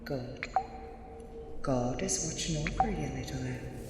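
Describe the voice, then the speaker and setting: A woman playing a stern, devout convent nun in a horror audio drama, speaking calmly in a measured, cryptic and ominous manner. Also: extremely low pitch, vocal fry, full character voice. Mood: hushed, soothing. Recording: very echoey stone-like hall, loud background noise.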